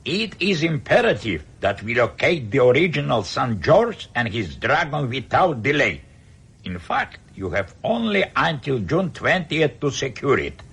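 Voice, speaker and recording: An imperious Soviet General Secretary in a radio drama. His mid-pitched voice is very husky, with sharp swings in tone. He is curt, impatient and commanding. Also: big pitch swings, measured pace, heavily acted. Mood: demanding.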